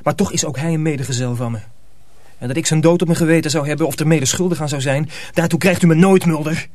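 An agitated mill hand played by a man in a radio play, defiant and urgent. He talks very fast in a fairly high voice, recorded close and dry. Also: a little mellow, no room sound, lightly acted. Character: agitated, defiant male mill hand refusing his master. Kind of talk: radio drama acting.

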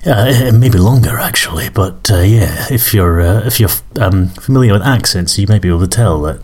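A man's voice: sits low, animated, normal speed, darkish tone, breathy edge. A middle-aged man with a mixed northern English and Scottish accent, hosting a podcast and rambling hesitantly between music tracks, with many fillers and restarts. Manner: hesitant, full of fillers and restarts, self-deprecating. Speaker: podcast host.

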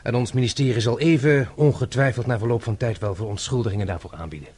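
An actor playing a dry government official in a radio play, speaking formally with polite irony. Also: male voice, mid-range voice, quick pace, darkish tone, slightly rough, lightly acted. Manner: dryly ironic, formal.

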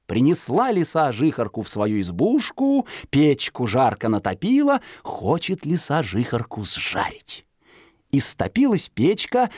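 A woman's voice: a bass voice, a little mellow, dry recording, clean studio sound. A woman storyteller narrating a children's fairy tale in a folksy, theatrical, singsong way.

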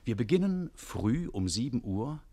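A man giving a scripted introduction to a radio play as announcer and narrator, in a measured storytelling delivery. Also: mid-range voice, big pitch swings, dark tone.

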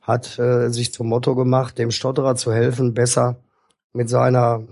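A man speaking as a guest speech therapist, explaining things in a calm, instructive and measured way. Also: mid-range voice, dry recording, clean studio sound. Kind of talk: explanatory interview talk.

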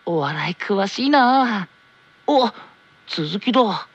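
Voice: mid-range voice, lively intonation, takes it slowly, slightly rough, muffled recording, full character voice. An actor voicing a cheerful, curious teenage boy in an anime radio drama, ending sentences with cutesy cat-like "nya". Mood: curious, playful.

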